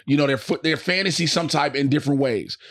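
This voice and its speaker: A man's voice: fairly high pitch, quick pace, fairly bright tone. A man co-hosting a podcast in casual conversation, slangy and often swearing. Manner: slangy, profane. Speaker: podcast co-host. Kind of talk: casual chat.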